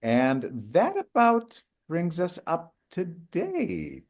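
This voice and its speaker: An older man answering interview questions about co-writing a musical, speaking haltingly with many fillers and restarts. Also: fairly high pitch, huge pitch swings. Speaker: guest, co-writer of a musical.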